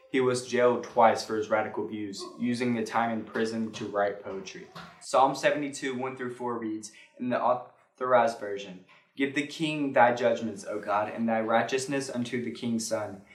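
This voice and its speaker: A man's voice: medium pitch, a little hiss or hum. A man reading a scripted church devotional on hymn history, occasionally stumbling over words and correcting himself. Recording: roomy, echoing sound.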